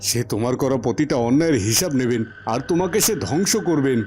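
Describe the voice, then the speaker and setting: A man's voice: mid-range voice, lively intonation, fast, darkish tone, a little hiss or hum, natural voice. A man playing a righteous captive king in a cartoon, giving solemn, preachy warnings. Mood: admonishing. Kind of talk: Bengali cartoon voice acting. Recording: faint music behind. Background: faint background music.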